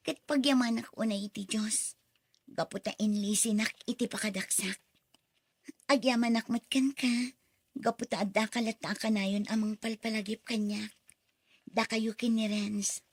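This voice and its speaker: An actress playing an emotional, earnest wife in a radio drama, speaking with an Ilocano accent. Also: female voice, a little high, steady tone, normal speed, pauses often, husky, dry recording. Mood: subdued, reflective.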